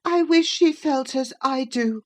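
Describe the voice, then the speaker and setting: A woman's voice: high-pitched, unhurried, voice pushed up. A woman voicing a sickly, petulant teenage boy in an audiobook, whining and self-pitying. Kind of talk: audiobook character reading.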